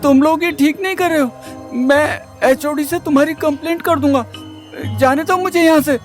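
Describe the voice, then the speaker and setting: Very high-voiced, frightened bullied male student, acted out. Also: moderate pace, faint music behind. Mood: protesting. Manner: pleading, protesting.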